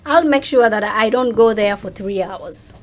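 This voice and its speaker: A woman's voice: mid-range voice, a little slow. A woman speaking casually as a guest in an interview, talking about herself as a content creator. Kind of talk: casual chat.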